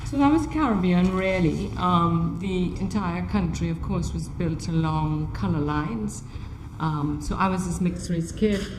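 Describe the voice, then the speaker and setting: Trinidadian woman in her 50s chatting thru an artist talk, lowish voice, roomy echo. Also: a little slow. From Trinidad and Tobago. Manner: conversational, often checking in with "you know". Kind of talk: informal artist talk, personal recollection. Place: gallery talk in a room. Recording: echoey room, noticeable background noise.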